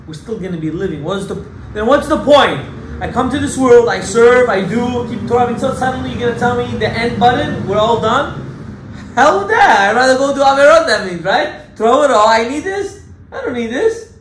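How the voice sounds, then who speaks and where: A man giving an animated Torah class as a rabbi, emphatic and full of rhetorical questions, mixing Hebrew terms into his English. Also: extremely high pitch, moderate pace. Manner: emphatic, rhetorical questions, acts out objections loudly. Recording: echoey room with faint background noise.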